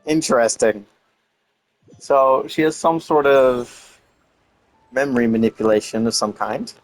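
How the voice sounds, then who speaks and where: Male gamer, medium-pitched, informal, thinking aloud, faint music underneath. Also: a little slow, poor audio. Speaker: gamer playing and reacting. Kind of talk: casual playthrough commentary.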